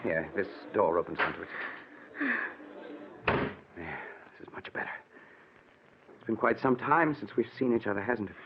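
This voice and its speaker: A man's voice: on the low side, animated, normal speed, pauses often, a little hiss or hum. An actor playing an unhappily married man, anguished and bitter, in melodramatic 1940s radio drama style. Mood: tentative. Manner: earnest, melodramatic. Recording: muffled old 1940s radio broadcast.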